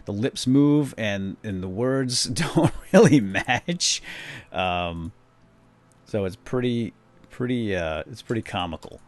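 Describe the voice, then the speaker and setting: A man's voice: lowish voice, slow. A man hosting a video game series, chatting casually and retelling a story with lots of fillers. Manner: rambling, many fillers, lively retelling. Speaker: let's play host. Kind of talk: casual gameplay commentary and storytelling.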